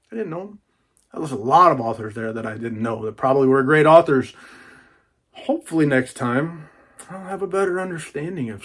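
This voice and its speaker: A man giving a casual, chatty unboxing monologue in a folksy, colloquial way, repeating phrases for emphasis. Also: on the high side. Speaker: book reviewer and YouTube host.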